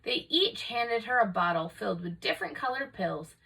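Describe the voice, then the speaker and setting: A woman reading a children's picture book aloud in an expressive, dramatic storytelling manner. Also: a little high, normal speed, gravelly, husky, recorded from a distance, rough recording. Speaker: storybook reader.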